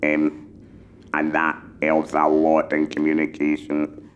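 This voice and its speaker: Male mentor, electrolarynx speaker: mechanical buzz, utterly flat intonation, slow and deliberately articulated advice. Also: rumbling low, highs cut off, a little hiss or hum. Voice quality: buzzing, monotone electrolarynx voice. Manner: measured, deliberately enunciated phrasing. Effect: mechanical electrolarynx vibration. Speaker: patient mentor for electrolarynx users. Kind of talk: explanatory talk giving advice.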